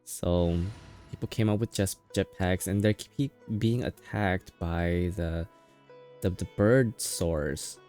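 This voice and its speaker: Male streamer, lowish voice, tired, casual, rambling chat with playful teasing, game music clearly behind. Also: takes it slowly, darkish tone.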